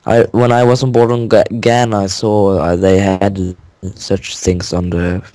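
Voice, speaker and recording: A man from Norway with a Norwegian accent, speaking English as a second language in a halting casual chat. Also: low voice, level tone, warm tone, dry recording. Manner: halting, searches for words. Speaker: guest builder.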